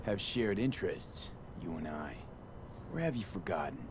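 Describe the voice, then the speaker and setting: An actor playing a wry, tough mercenary in a video game, speaking in a laconic, dry way. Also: male voice, sits low, very animated, moderate pace. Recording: game audio with background hum.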